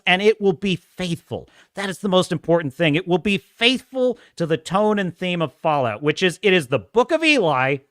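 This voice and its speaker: A man's voice: on the high side, bright, forceful tone, no room sound, studio quality. A man hosting a casual livestream, chatting and reading viewer comments, with a self-described whiny, nerdy voice, rambling and laughing often. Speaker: host.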